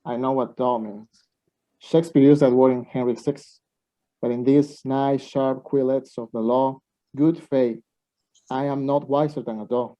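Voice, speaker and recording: A man's voice: medium pitch, steady tone, measured pace, warm tone, natural voice. A man playing a baffled, sarcastic young student in a radio play, sounding incredulous. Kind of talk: amateur radio play acting. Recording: dull, muffled lo-fi microphone.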